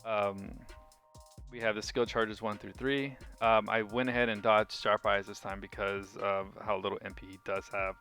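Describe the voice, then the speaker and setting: A man's voice: fairly low pitch, level tone, moderate pace, bright, forceful tone. A man giving a casual game walkthrough commentary, informal and enthusiastic like a hobbyist sharing a setup. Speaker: gaming video creator. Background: music.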